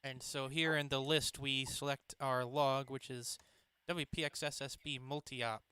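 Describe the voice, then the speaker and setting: Clear-voiced man giving a casual demo. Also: medium pitch, fairly even intonation, measured pace, bright, forceful tone. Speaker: participant demonstrating radio software. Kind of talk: casual step-by-step screen-share demo.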